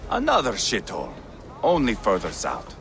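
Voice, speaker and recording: An actor playing a crude, bloodthirsty, mocking Viking warrior prince in a video game cutscene, sardonic and taunting. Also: male voice, fairly low pitch, wildly swooping pitch, moderate pace, creaky, fairly bright tone, full character voice. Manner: foul-mouthed, taunting, sardonic. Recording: constant outdoor ambient noise behind dialogue.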